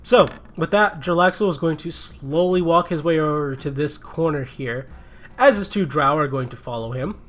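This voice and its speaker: A man's voice: a little high, moderate pace, fairly bright tone. A man running a tabletop game for friends, narrating and laughing often in a loose, playful way. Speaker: game master.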